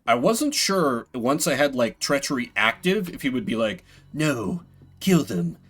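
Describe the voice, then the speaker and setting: A man's voice: medium pitch, big pitch swings, unhurried, fairly bright tone, slightly rough. A man giving casual live game commentary as a streamer, rambling with playful, self-deprecating asides.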